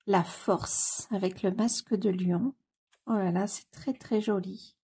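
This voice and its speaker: A woman with a cold gives casual, gushing commentary as she leafs through a book, often pausing on fillers and repeating her delight. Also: mid-range voice, steady tone, dark tone, slightly rough. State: has a cold. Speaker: coloring book YouTuber.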